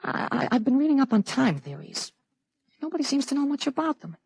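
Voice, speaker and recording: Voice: sits high, very animated, a little fast, no echo. An actor playing a thirteen-year-old boy with a grown man's mind, articulate and earnest, in a 1950s radio drama. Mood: earnest, insistent. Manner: articulate, earnest, matter-of-fact. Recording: vintage 1950s radio broadcast recording.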